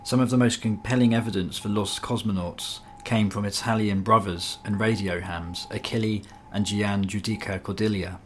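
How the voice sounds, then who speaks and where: A man's voice: on the low side, fairly even intonation, normal speed, few pauses, fairly bright tone, soft background music. A man reading a scripted nonfiction piece as a documentary-style narrator.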